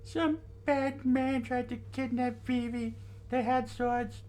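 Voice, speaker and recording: A man voicing excitable young boys in a thin falsetto, with urgent exclamations, as he reads an adventure comic aloud. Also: takes it slowly. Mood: upset. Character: excitable young desert children, boys shouting warnings.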